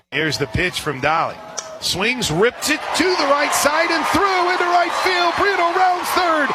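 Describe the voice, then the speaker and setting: A man calling a softball game live as a radio play-by-play announcer, in a folksy, chatty way with listeners. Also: very high-pitched. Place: outdoor softball stadium. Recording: loud crowd and outdoor stadium noise. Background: crowd noise, outdoor ambience.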